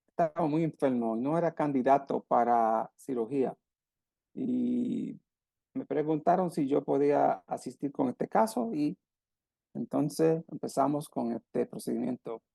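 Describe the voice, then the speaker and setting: A man from Puerto Rico with a Puerto Rican Spanish accent, giving a medical lecture and answering questions, halting with frequent restarts and repeated words. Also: medium pitch, animated, creaky, warm tone, no room sound. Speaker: radiologist lecturer.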